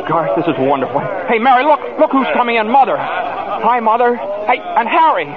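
Breathless, emotional family man for old radio drama; high-pitched, highly animated delivery, dull period sound. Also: a little fast. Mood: overwhelmed. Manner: stammering, breathless repetition. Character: desperate, then elated family man, excitable and big-hearted. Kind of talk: old-time radio theater acting. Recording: muffled vintage radio broadcast, crowd noise behind.

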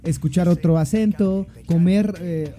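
A man's voice: high voice, measured pace, mellow, faint music behind. A young man with a Mexico City accent hosting a podcast, joking around in loose, slangy casual chat, a bit tipsy. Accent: Mexico City Spanish. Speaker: podcast host.

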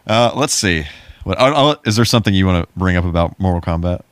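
Low-pitched man chatting casually. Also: lively intonation, fast, no echo. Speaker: podcast co-host. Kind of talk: casual chat.

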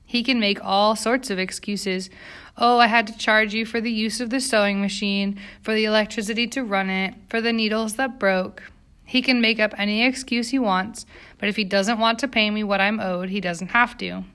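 A woman's voice: medium pitch, fairly even intonation, normal speed, a bit bright, lightly acted. A woman voicing an earnest, determined young immigrant factory girl in an audiobook, with precise English. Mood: indignant, determined.

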